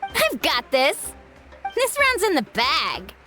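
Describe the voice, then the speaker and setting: A woman's voice: high voice, slow, exaggerated voice. A woman voicing a sweet, determined young girl contestant in a video game, girlish and earnest. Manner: earnest, expressive. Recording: music behind the voice.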